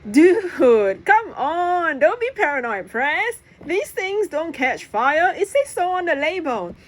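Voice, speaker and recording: Woman as an anxious, high-pitched rebellious boy. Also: very animated, slow pace, heavily acted. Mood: exasperated. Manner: exclaiming, stammering. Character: anxious, rebellious boy narrator's panicked dialogue. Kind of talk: acted storybook dialogue. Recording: clear background noise.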